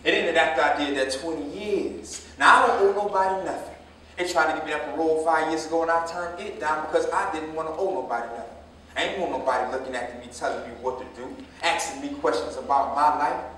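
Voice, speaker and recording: A man's voice: on the high side, quick pace, a bit bright, close to an everyday voice. An American man acting a proud, defensive grown son just out of prison, insistent, in a staged play reading. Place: indoor staged reading, recorded from afar. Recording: echoey, noisy stage from far off.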